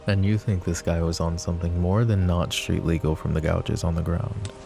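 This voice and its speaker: A man playing a possessive, overprotective mafia boss in an intimate roleplay audio drama, with a low gravelly voice and a measured, commanding manner. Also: little pitch movement, dark tone, faint music behind. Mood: serious, measured. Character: possessive, overprotective male mafia boss, curt but caring. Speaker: voice actor.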